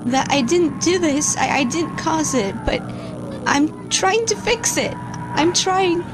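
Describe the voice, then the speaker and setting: A woman voicing a shaken, guilt-ridden scientist who records a lab log for a horror series, halting and stammering anxiously. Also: high voice, animated, noisy background. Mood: anxious, pleading. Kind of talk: audio log monologue in an analog horror series.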